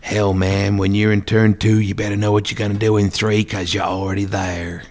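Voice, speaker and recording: A man voicing a gruff old male racing fan he once met, in an everyday tone. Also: on the low side, level tone, moderate pace, slight background noise, lightly acted. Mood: knowing. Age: older.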